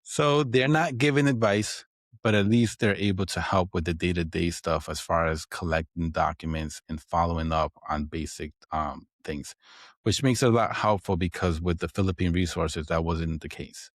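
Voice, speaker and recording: A man with a Dominican Spanish accent talks through his work as a podcast guest in a very low voice. He explains things patiently and keeps checking in with "right?". The recording is close and dry. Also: normal speed, dry recording. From Dominican Republic. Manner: explanatory, frequent "right?" tags. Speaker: guest, accounting firm owner. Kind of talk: podcast interview.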